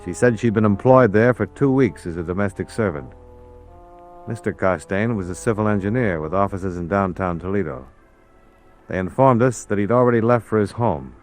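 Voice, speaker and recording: An actor playing a hardboiled insurance investigator, terse and matter-of-fact, narrating and acting in an old radio noir drama. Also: male voice, lowish voice, close to an everyday voice.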